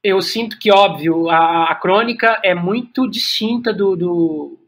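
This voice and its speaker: A Brazilian man speaking reflectively as an interview guest, often halting and repeating words as he thinks aloud. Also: sits high, a bit bright. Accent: Brazilian Portuguese. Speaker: podcast guest, playwright and director.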